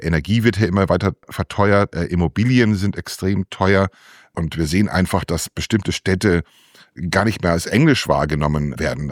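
A man's voice: deep voice, no room sound, clean studio sound. A man giving unscripted political commentary as a correspondent answering interview questions. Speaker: political correspondent reporting from London.

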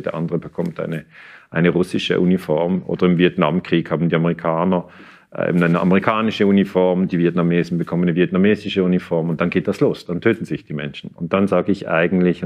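Deep-voiced man, measured and explanatory. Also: muffled recording. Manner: measured, explanatory, with frequent restarts and hesitations. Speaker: historian and interview guest. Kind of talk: interview answers.

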